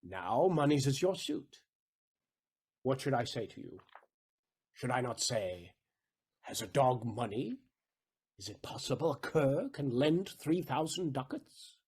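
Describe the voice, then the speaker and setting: Guy acting a bitter sly old-world moneylender, stage shakespeare, big swoops in pitch, rough voice, talks like speeches. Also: expressive, a little mellow. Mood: bitter, sardonic. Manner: deliberate, rhetorical, mock-humble and sardonic. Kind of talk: theatrical Shakespearean audio drama acting.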